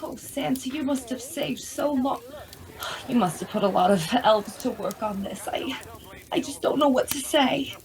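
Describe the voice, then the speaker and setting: An actress playing a chatty, sweet but sharp-tongued woman as Mrs. Claus in an old-time radio comedy. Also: high-pitched, normal speed. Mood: gushing.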